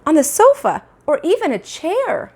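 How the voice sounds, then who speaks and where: A woman's voice: sits high, huge pitch swings, normal speed, voice pushed up. A woman voicing a wisecracking, put-upon schoolboy in a children's storybook. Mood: pleading. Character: wisecracking, put-upon fourth-grade boy. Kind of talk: children's storybook read-aloud.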